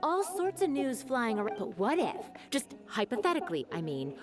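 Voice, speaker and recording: A woman's voice: a little high, normal speed. A woman playing a chatty, teasing townswoman who gossips, in video game dialogue. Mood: gossipy, teasing. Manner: gossipy, animated.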